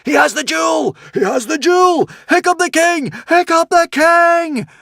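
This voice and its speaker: A man voicing a cheering crowd, roaring in loud chanting shouts. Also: very high voice, moderate pace, no room sound, clean studio sound, voice pushed up, full character voice. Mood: jubilant.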